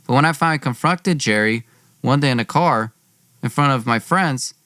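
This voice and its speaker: A man's voice: medium pitch, normal speed, bright, forceful tone, no room sound, studio quality. A male podcast host telling a personal story in a colloquial, earnest way, re-enacting bits of dialogue as he goes.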